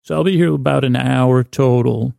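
A man hosting a bedtime podcast with a creaky voice, rambling in a halting, self-deprecating way that wanders and breaks off mid-word. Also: medium pitch, fairly even intonation, mellow, no echo, clean studio sound. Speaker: podcast host. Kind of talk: rambling bedtime monologue to help listeners sleep.

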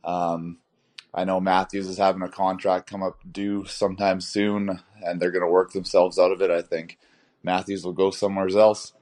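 A man's voice: low voice, level tone, moderate pace, darkish tone. A man leaving a casual voicemail for a podcast, hesitant and full of fillers. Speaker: voicemail caller.

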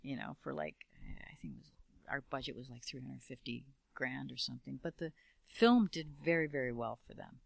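A woman interview guest talking casually about her film work, relaxed and wry, her phrases trailing off. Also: very deep voice, brisk, gravelly, few pauses, no room sound.

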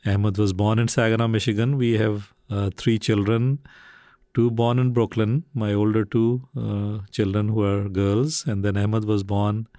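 A man in his seventies from Pakistan, a podcast guest who speaks in a measured, formal way with dry replies. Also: fairly low pitch, flat delivery, mellow, no room sound. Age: 70s. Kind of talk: podcast interview.

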